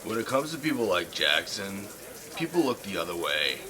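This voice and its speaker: A man playing a surly, sarcastic high school football player in an audio drama, with a cynical, sullen manner. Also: big pitch swings, moderate pace, crowd noise.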